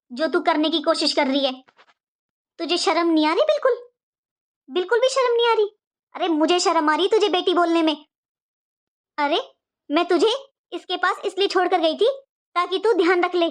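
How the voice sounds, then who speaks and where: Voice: extremely high pitch, normal speed, long pauses. A performer voicing an anxious, morally stern middle-aged mother in a cartoon moral story, emotional and reproachful. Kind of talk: animated moral story dialogue.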